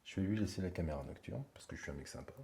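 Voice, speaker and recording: A middle-aged man hosting a paranormal exploration, talking in a low murmur with joking asides. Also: expressive, racing, gravelly, dark tone. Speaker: paranormal investigator host. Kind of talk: hushed on-location vlog narration. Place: dark abandoned house at night. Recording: faint background noise.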